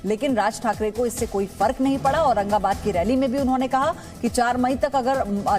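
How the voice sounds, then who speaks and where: A woman anchors a news broadcast in a high-pitched voice. She delivers it as broadcast news presentation, with prominent music playing behind her.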